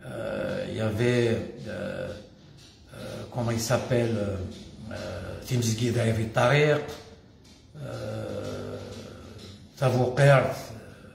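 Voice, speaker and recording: Older Kabyle Algerian man, slow and halting. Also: lowish voice, big pitch swings, gravelly, slight background noise. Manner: halting, hesitant, pausing often. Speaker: former community association leader. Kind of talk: casual personal monologue to camera. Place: indoors at home, room with stone wall and staircase. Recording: echoey room.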